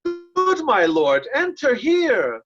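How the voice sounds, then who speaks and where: A woman playing a loyal nobleman who pleads earnestly and gently with an old king in an amateur Shakespeare reading. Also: high voice, huge pitch swings, slow, muffled recording, faint music behind, heavily acted. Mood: earnest, pleading.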